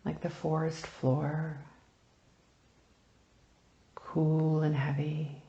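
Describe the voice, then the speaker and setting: A woman leading a guided meditation, speaking softly and soothingly in unhurried phrases with long pauses, turning breathy at phrase ends. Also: low-pitched, flat delivery, reverberant, dull audio, slight background noise. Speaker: meditation teacher.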